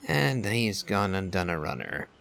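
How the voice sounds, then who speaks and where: A man playing a cautious, thoughtful traveler in a fantasy game, with a deliberate, understated delivery. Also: low-pitched, expressive, creaky, fairly bright tone, exaggerated voice. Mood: thoughtful, wary. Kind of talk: game character dubbing. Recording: faint music under the voice.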